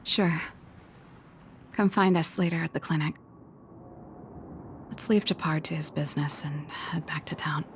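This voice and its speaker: Low, gravelly world-weary woman. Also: measured pace, long pauses, a little hiss or hum. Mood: casual. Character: world-weary, laid-back adult woman, quietly resolute. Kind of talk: video game character dialogue. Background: game music.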